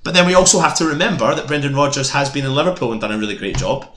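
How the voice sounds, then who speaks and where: Bright-toned Scottish man. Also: mid-range voice, rapid-fire, reverberant. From Scotland. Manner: jokey, jumps in with stammering restarts. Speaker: fan panelist. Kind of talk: casual football discussion.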